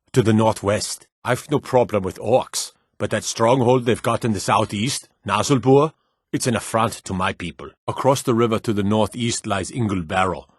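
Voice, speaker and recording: An actor playing a weathered, plain-spoken Nordic guard and townsman in a fantasy video game, gruff and dutiful, with a measured, weary manner. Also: fairly low pitch, dry recording, clean studio sound. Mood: weary, matter-of-fact.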